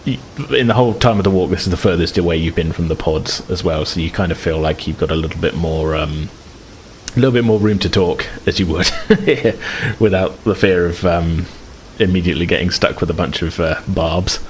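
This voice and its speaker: A man's voice: deep voice, fairly quick, rough recording. A man running a tabletop game as game master, improvising descriptions and often groping for words. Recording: clear background noise.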